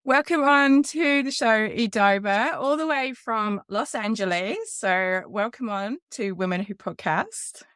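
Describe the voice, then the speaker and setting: A woman's voice: medium pitch, a little slow, few pauses, fairly bright tone. A woman hosting a podcast who reads a scripted intro and then welcomes and questions her guest in a friendly way. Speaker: podcast host.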